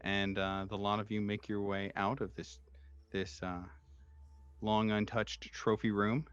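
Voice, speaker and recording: A low-voiced man acts as game master for a tabletop horror session. He describes scenes off the cuff in halting, searching phrases, in a fairly unprocessed voice. Music plays clearly behind him. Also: level tone, fairly bright tone, lo-fi sound. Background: background music.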